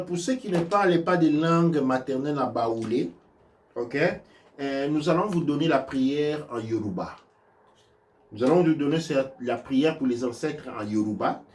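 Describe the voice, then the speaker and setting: Give me a man leading a religious teaching session, halting and repetitive, recorded from a slight distance. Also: a little high. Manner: halting, repeats words and restarts, swings from loud to soft. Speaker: spiritual teacher. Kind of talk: religious teaching in a live stream.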